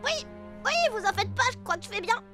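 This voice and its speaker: A woman voicing an excitable young child in a children's cartoon dub, expressive and exclamatory. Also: very high voice, fast, music in the background. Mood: pained, worried.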